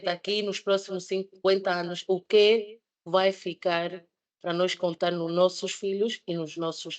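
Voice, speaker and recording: A woman's voice: mid-range voice, a bit bright. A woman in her thirties, not a native Portuguese speaker, presenting a project at a panel debate with many fillers and restarts. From Switzerland. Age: 30s.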